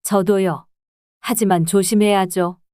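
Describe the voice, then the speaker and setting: A woman plays a hesitant housekeeper in her sixties in a storytelling audio drama. Her voice is smooth and mellow, soft and deferential, with no room sound. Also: medium pitch, steady tone, measured pace, no echo, clean studio sound, close to an everyday voice.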